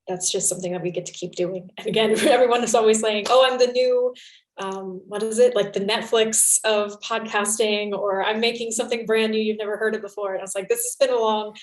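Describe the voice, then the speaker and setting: A woman talks conversationally as a panelist, with a mid-pitched voice in a roomy, echoing space. Her delivery is effusive and wandering, like someone thinking aloud about her craft. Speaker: panelist, fiction podcast writer.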